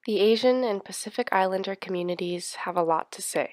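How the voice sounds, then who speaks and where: A woman's voice: mid-range voice, brisk, a little mellow, no room sound. A woman narrator reading a scripted introduction.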